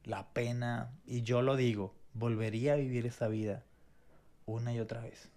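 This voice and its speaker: A man's voice: medium pitch, fairly even intonation, moderate pace, warm tone. Venezuelan-born guy on a faith podcast, earnest testimony, lots of restarts. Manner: earnest, reflective, with many restarts and repetitions. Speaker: co-host.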